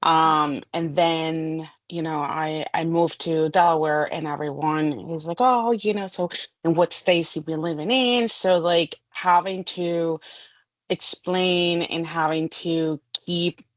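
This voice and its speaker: A woman's voice: low voice, fairly even intonation, slow, dry recording. A woman psychologist from Puerto Rico answering interview questions thoughtfully, with many asides. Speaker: psychologist guest.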